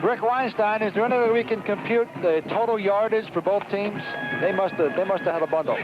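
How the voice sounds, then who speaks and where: A man's voice: high voice, brisk. An American man calling a live football game play by play on the radio, in a muffled, noisy 1970s broadcast recorded at an outdoor stadium. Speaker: play-by-play sports announcer.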